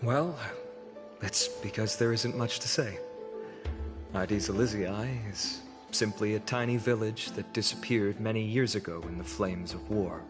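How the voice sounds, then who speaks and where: A man voicing an earnest, good-natured young hero in scripted video game dialogue, gentle and sincere. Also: on the low side, a little slow, natural voice. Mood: haunted. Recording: music underneath.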